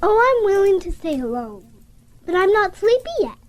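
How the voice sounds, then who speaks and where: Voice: very high-pitched, very animated, moderate pace. A performer playing a sweet, frail little girl in an audio drama, earnest and dreamy, with old-fashioned phrasing. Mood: dreamy happiness.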